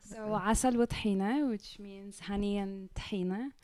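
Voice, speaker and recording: A woman's voice: medium pitch, fairly even intonation, moderate pace, warm tone, dry recording. A young woman from Syria and the Czech Republic co-hosting a radio show in a casual, conversational way.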